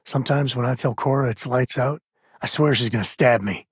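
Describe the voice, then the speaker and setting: An actor playing a rugged, folksy space-frontier ranger and devoted father in a video game, with a husky voice and an easygoing, sincere way of talking. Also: medium pitch, brisk, dry recording, studio quality, close to an everyday voice. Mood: matter-of-fact. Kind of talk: acted game companion dialogue.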